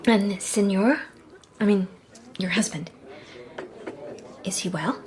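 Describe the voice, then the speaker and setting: A woman's voice: medium pitch, slow pace, darkish tone, close to an everyday voice. An actress playing a young, loyal and proud society woman detective in a radio drama, speaking in a refined, heartfelt way. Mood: pained.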